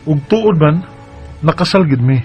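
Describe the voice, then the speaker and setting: An actor playing a devoted, playful young husband in a sentimental radio drama, tender and earnest. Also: male voice, a little high, lively intonation, unhurried, a little mellow. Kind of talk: sentimental radio drama acting with first-person narration. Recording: dull lo-fi radio sound with music.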